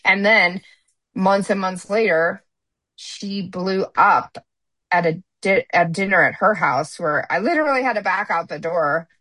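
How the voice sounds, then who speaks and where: A woman's voice: mid-range voice, a little slow, pushed and bright, no room sound. A middle-aged woman speaking bluntly and emphatically in a candid podcast chat, often restarting her sentences.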